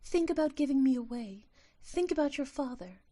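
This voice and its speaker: Woman acting a tiny bright-voiced female sprite. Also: sits high, lively intonation, moderate pace. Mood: grave, pleading. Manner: formal, solemn phrasing. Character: tiny delicate winged female sprite, earnest and tender. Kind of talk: audio drama acting.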